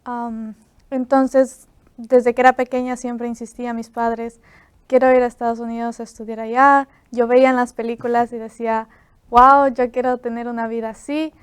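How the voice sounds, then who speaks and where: Nineteen-year-old Ecuadorian woman guest, smooth flat voice, soft, lots of fillers. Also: fairly high pitch, normal speed, no room sound. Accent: Ecuadorian Spanish. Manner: soft-spoken, many "am" fillers. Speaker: student guest. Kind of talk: interview.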